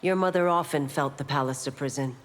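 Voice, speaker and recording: Actress as a low-voiced stern fantasy constable woman. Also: natural voice. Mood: formal, respectful. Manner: measured, formal, firm. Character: stern, loyal female police constable of a fantasy realm. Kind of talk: video game dialogue acting. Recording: long hall-like reverb.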